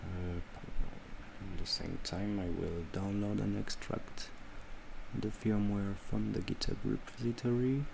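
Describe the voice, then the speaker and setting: French guy doing a software walkthru, low voice, slow n hesitant, noisy home recording. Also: little pitch movement, gravelly. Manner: hesitant, halting delivery with frequent pauses. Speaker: tutorial presenter. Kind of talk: unscripted software tutorial narration.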